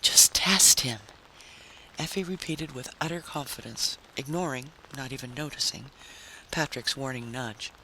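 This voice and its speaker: A man's voice: medium pitch, normal speed, pushed and bright, low-quality recording. A man narrating a story in a dramatic, scripted style. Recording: faint background noise.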